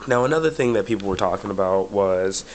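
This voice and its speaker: Man with a cold, voice a bit cracky and low-ish; loose, rambling product-review delivery. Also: lively intonation, fast, darkish tone, slight background noise. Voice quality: slightly cracky voice. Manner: rambling, many fillers like "but anyway" and "or whatever". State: has a bad cold. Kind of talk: casual product review vlog.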